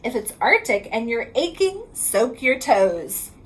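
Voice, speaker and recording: A woman's voice: on the high side, very animated, a bit bright, a little hiss or hum, rough recording. A woman reading a rhyming picture book aloud to children in a sing-song way, drawing out the ends of lines. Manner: sing-song rhyming delivery, drawn-out line endings.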